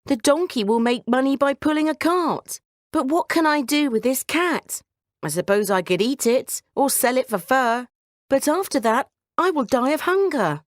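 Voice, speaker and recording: A woman's voice: high voice, expressive, normal speed, dry recording, clean studio sound, pitched above the performer's own voice. A woman playing an upset, penniless young man, plaintive and doubting, in a children's storybook reading. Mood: sobbing, self-pitying.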